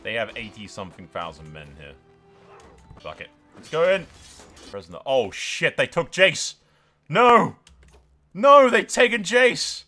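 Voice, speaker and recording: Animated man doing excitable gaming commentary. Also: a little high, unhurried, long pauses, soft background music. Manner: sweary, dramatic exclamations mixed with dry understatement. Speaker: gaming video host. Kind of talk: excitable let's-play commentary.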